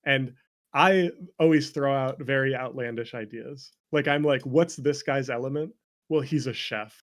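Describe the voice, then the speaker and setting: A man's voice: mid-range voice, measured pace. A man talking casually as an interview guest about his work as a game developer, rambling and joking at his own expense. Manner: rambling, self-deprecating, jokey. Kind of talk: casual chat.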